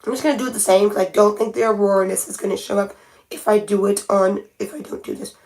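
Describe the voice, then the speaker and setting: A woman's voice: medium pitch, fairly even intonation, fast, a little mellow. A woman chatting casually on a livestream as she paints her nails, thinking aloud and often restarting her sentences. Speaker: nail art livestreamer. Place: home by a window.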